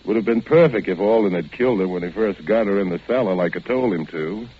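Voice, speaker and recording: A man's voice: on the low side, fast, slightly rough. An American actor playing a bitter, scheming husband who tells his crime story in a clipped 1940s radio drama style. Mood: cynical confessing. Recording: muffled old radio broadcast, loud music behind.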